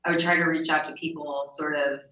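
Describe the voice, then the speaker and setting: Bright-voiced woman podcast host, roomy echo. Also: sits low, flat delivery, a little fast, distant mic. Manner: informal, chatty, often checks in with "right?". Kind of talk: casual on-location podcast interview.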